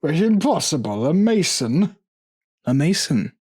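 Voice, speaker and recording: A man's voice: fairly high pitch, very animated, measured pace, a little mellow, breathy edge, voice pushed up, exaggerated voice. A man voicing a drunken, boastful and gullible jester nobleman in a gothic horror story, with tipsy, exclamatory interjections. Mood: incredulous.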